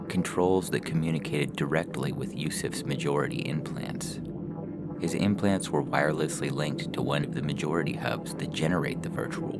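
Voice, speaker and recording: A man reading scripted fiction as a story narrator. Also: a bass voice. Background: music.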